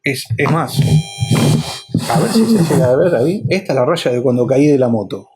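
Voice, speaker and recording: A man's voice: medium pitch, lively intonation, moderate pace, a little mellow, a little hiss or hum. A man with a Rioplatense Argentine accent, talking casually and rambling a little as he explains things as a motorcycle vlogger.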